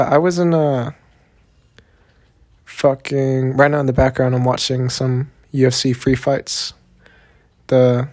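A man's voice: medium pitch, darkish tone. Rambling young Texan man, Southern drawl. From Texas, USA. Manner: rambling, laid-back, profane, heavy on fillers. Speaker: podcast host. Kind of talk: solo podcast monologue.

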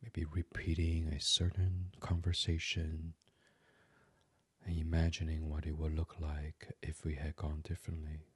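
A man with a deep, breathy voice leads a bedtime relaxation in ASMR style. He speaks very slowly and softly, often dropping almost to a whisper. The sound is close and dry, with no room around it. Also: monotone, dry recording. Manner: hushed, soothing delivery slipping into near-whisper. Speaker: meditation podcast host. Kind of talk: guided sleep meditation, ASMR.

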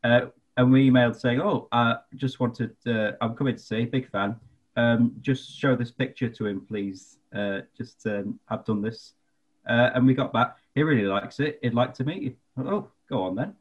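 A man's voice: medium pitch, moderate pace, breathy edge, highs cut off. A young British man chatting casually on a podcast, telling anecdotes in a hesitant, self-effacing way. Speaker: podcast co-host.

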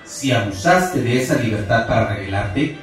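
A man's voice: mid-range voice, animated, normal speed, lightly acted. A man acting as God's angelic messenger in a radio play, solemn and pleading, with declamatory, preaching speech. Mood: earnest, reproving. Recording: very echoey, distant, music underneath.

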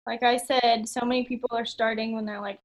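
A woman in her late twenties chats as a podcast guest, upbeat and talkative. Her voice sits a bit high for a woman. She fills pauses with ums and small laughs. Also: level tone, low-quality recording. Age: 20s. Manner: upbeat, chatty, frequent ums and little laughs. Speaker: podcast guest, online entrepreneur. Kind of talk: casual interview chat.

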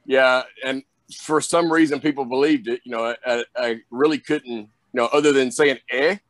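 A young man with a Southern drawl talks loosely through an interview, circling back with plenty of "you know"s. His voice sits at a middle pitch, and the sound is dry and close with no room around it. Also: steady tone, moderate pace, fairly bright tone, dry recording. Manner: rambling, lots of fillers, drawling. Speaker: wrestler guest. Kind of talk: casual interview chat.

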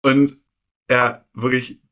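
A young man co-hosting a podcast, telling personal stories casually and haltingly, often repeating phrases. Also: medium pitch, little pitch movement, fairly bright tone. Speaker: podcast co-host. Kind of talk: casual chat, personal storytelling.

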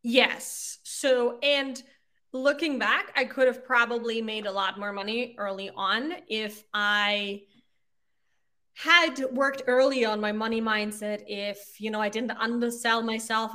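A woman in her thirties from Austria, a native German speaker, talking through her career in a casual interview with relaxed, reflective speech. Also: mid-range voice. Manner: relaxed, reflective, uses "like" and "you know" often. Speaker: podcast guest, agency founder.

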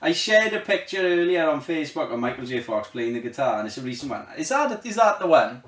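A man's voice: on the high side, very animated, a little fast, a bit bright. A man hosting a podcast, tipsy and laid-back, laughing and swearing in casual banter. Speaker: podcast host. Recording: roomy echo.

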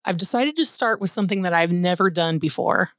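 A woman's voice: fairly low pitch, animated, brisk, a bit bright, dry recording, studio quality. A woman hosting a podcast, chatty and bubbly, laughing often as she talks. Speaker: podcast host. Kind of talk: casual chat.

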